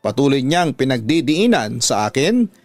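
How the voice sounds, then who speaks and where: A man's voice: mid-range voice, sing-song, normal speed, darkish tone, no echo, soft background music. A man with a Filipino accent narrating a scripted dramatic story for radio.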